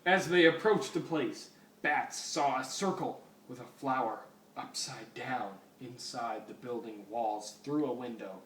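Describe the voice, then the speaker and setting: A man's voice: mid-range voice, measured pace, a bit bright. A man giving a comic dramatic reading of fan fiction, amused and apt to break off to comment. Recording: echoey room, distant mic, faint noise.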